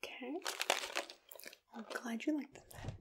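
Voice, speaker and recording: A woman speaking in a breathy, mostly whispered voice, gently playing an attentive agency assistant in an ASMR roleplay, close to the microphone. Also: a little high, background noise. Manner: gentle, personal, unhurried customer-service address.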